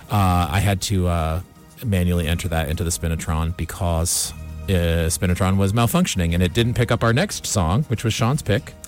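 Male radio host, lowish voice, easygoing and rambling, light music behind. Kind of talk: casual radio show chat. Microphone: studio radio microphone.

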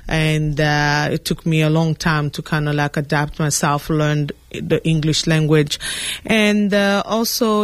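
A woman from the Democratic Republic of Congo whose first language is French, answering radio interview questions earnestly with many pauses and fillers. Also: low voice, no echo. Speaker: political candidate guest. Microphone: studio mic.

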